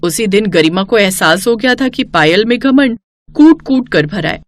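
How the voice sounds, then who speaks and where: A woman's voice: medium pitch, animated, normal speed, dry recording, clean studio sound. A woman narrating a scripted moral story in a storyteller's style.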